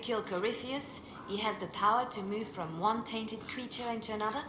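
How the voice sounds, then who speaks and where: An actress playing a determined, idealistic female spymaster and religious reformer in a video game, earnest and measured. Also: a little high, lightly acted. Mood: doubtful, grim. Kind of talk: video game dialogue acting. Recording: echoey, faint background noise.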